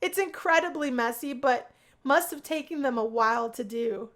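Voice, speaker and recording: Woman, fairly high pitch, expressive first-person story narration, reading a visual novel. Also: moderate pace.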